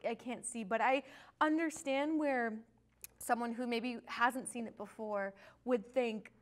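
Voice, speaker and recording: A woman with a fairly high voice talks as a guest co-host. Her delivery is informal and enthusiastic, in the loose style of a fan having a casual chat. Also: fairly even intonation, unhurried.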